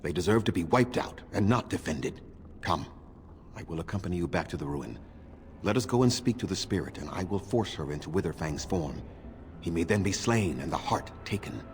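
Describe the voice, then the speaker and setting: Low-voiced, proud ancient elf leader. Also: male voice, lively intonation, a little mellow, a little hiss or hum. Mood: contemptuous. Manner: measured, formal, condescending. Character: ancient bitter male elf clan leader, proud, unforgiving. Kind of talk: dramatic fantasy game acting.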